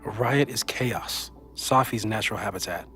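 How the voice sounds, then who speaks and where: A man acting a geeky, reassuring physicist friend in a video game, with an American accent and a thoughtful, gently joking manner. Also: fairly low pitch, moderate pace. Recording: music under the voice. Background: game music.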